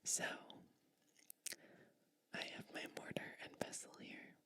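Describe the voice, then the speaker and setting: A woman performing a soothing, attentive ASMR roleplay as a kind, nurturing witch, in an unhurried manner. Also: sharp tone.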